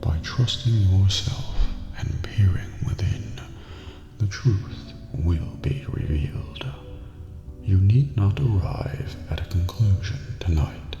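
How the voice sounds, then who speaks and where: A man voicing an eerie, courteous old master of a dream prison in a video game ASMR roleplay, speaking formally in a breathy near-whisper. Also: sits low. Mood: solemn, soothing. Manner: slow, formal, measured, cryptic. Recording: reverberant hall, muffled, music behind. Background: music.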